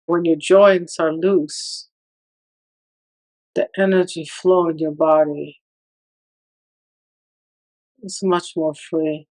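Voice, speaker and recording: A woman leading a guided meditation in a calm, soothing manner, leaving long pauses between short phrases. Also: deep voice.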